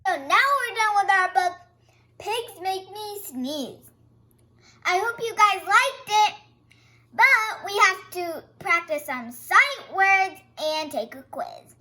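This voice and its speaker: A young girl hosts a read-aloud for young viewers. Her voice is very high and strikingly bright, with lively intonation. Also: slow.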